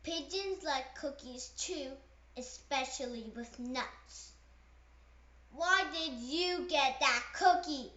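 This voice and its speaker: A woman voicing an excitable, jealous storybook pigeon who pleads and rants with dramatic, whiny indignation. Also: high-pitched, slow. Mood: whining, ranting. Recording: roomy echo, slightly dull sound.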